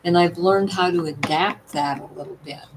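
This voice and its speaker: Brief: older woman, low weakened voice, chatty and emphatic, light background hiss. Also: normal speed. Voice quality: voice partly worn, weak. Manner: chatty, emphatic, asks "you know what?". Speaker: vlogger sharing health tips. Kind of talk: casual talk to viewers.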